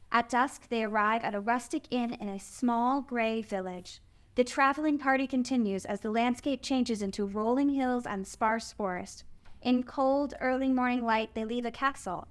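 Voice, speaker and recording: A woman's voice: a little high, fairly bright tone. A woman narrating the stage directions of a screenplay at a table read.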